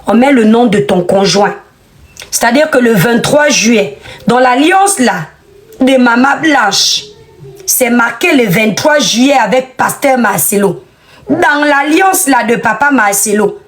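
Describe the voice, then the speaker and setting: A woman giving an outspoken commentary monologue, emphatic and scolding, repeating phrases for effect. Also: on the high side.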